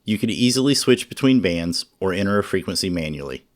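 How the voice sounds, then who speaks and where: Very low-voiced male reviewer. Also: lively intonation, brisk. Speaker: radio reviewer. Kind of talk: scripted product review narration.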